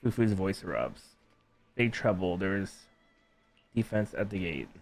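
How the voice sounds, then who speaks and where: A man's voice: sits low, expressive, measured pace, soft background music. A man casually reacting to a game story as a streamer, in short muttered fragments.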